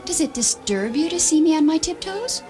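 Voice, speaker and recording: An actress plays a proud, exasperated fiancée in an audio story. Her highish voice moves up and down freely, coaxing with a sharp, reproachful edge. Faint music runs underneath. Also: expressive, normal speed. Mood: coaxing, placating. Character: short, exasperated fiancée with pride and sharp tongue. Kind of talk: audio short-story drama.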